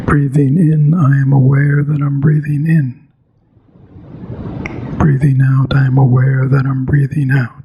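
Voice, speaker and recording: A man teaching meditation in a measured, halting way with long pauses, as in a guided practice talk. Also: little pitch movement, lo-fi sound. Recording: clear background noise, ambient rumble.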